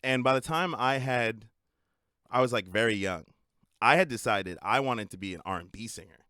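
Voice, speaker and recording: A young man co-hosting a casual podcast chat, animated and playful, laughing often. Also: medium pitch, normal speed, no room sound, studio quality. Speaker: podcast co-host.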